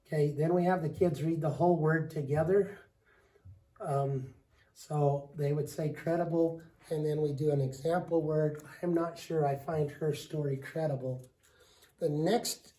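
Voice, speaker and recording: A man with a raspy voice from a lingering cold, walking through a reading lesson as a teacher, steady and explanatory with frequent ums. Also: on the high side, low-quality recording. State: hoarse from a cold. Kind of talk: recorded lesson walkthrough.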